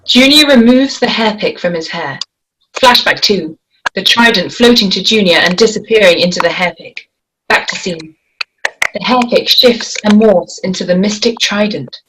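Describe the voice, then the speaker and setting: A woman reading the stage directions at a script table read in a plain, measured way. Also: medium pitch, noisy background.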